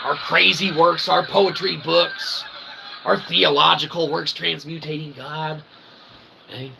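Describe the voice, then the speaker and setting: A man's voice: fairly high pitch, fairly even intonation, a little slow, pushed and bright. A man hosting a live stream, rambling through a comic mock-sermon in wordy, pseudo-formal phrases with folksy check-ins. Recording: clearly noisy background.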